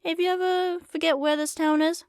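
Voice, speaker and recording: A woman's voice: very high-pitched, flat delivery, moderate pace, dry recording, clean studio sound. A woman playing an easygoing, wry former singer turned small-town bartender, chatting casually in an intimate ASMR roleplay. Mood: playful. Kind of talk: ASMR roleplay acting, intimate one-sided conversation.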